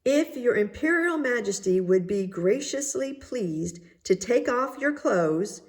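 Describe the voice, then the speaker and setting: A woman voicing a sly, flattering swindler weaver from a storybook, with a gushing, showy delivery. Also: on the high side, takes it slowly, voice pushed up. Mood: smooth flattery. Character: sly flattering rogue weavers presenting invisible clothes. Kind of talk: storybook character voice.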